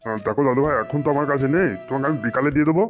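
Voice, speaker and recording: A man voicing a protective, doting but gruff old grandfather in a comedy cartoon. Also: mid-range voice, very fast, lightly acted. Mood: doting, soothing. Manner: fussing, repetitive, scolding. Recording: tinny lo-fi audio with music.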